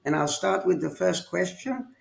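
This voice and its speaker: A man moderating a panel podcast, asking long questions in a slow, deliberate way. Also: sits high, lively intonation, vocal fry.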